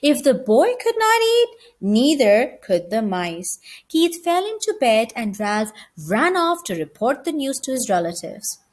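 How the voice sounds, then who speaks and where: Female storyteller for a children's audiobook, high voice, very expressive and varied intonation. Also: measured pace, hardly any pauses.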